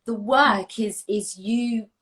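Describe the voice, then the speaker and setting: A woman's voice: a little high, slow, a bit bright. A woman appearing as a guest on a live-stream conversation about anti-racism work, speaking earnestly and emphatically and repeating key words for weight. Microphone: phone or webcam on a live stream.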